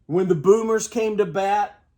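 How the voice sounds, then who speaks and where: An older man reading a children's storybook aloud with expressive, deliberate storytelling. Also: high-pitched. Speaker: grandparent storyteller. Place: outdoors.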